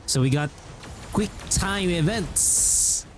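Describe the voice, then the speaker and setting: A man's voice: high-pitched, slow pace, music in the background. A man giving casual live reactions as a game streamer, cheekily talking back to the characters. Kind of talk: casual live reaction commentary over gameplay.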